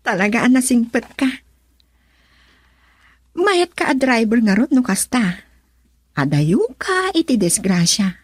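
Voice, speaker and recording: A woman's voice: fairly high pitch, big pitch swings, slow, pauses often, slightly rough, no room sound, studio quality, heavily acted. An actress with a Filipino accent playing a flirtatious, coaxing lady employer in a radio drama. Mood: coy, teasing. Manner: coaxing, teasing. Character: coy, flirtatious married lady employer of a driver.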